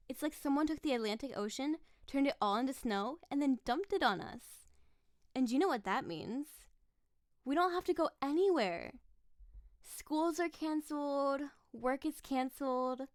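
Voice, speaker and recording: Woman acting a high-voiced, clingy snow-spirit wife. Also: dry recording, clean studio sound. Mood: excited, playful. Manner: coaxing, theatrical, doting. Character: playful, clingy yandere snow-spirit wife, affectionate and possessive. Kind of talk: scripted audio roleplay addressed to the listener.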